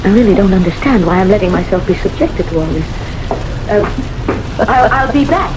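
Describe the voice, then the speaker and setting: Flustered, expressive old lady played by an actress. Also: mid-range voice, normal speed, lightly acted. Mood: nervous, flustered. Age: older. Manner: hesitant, polite, easily flustered. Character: timid, flustered old lady living alone. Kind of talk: old-time radio drama acting. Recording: noisy, hissy old radio recording.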